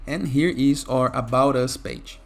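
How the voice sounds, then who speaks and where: Lower-voiced male tutorial host over music. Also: a little slow, gravelly. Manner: instructional, often checking with "okay?". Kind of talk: software tutorial narration.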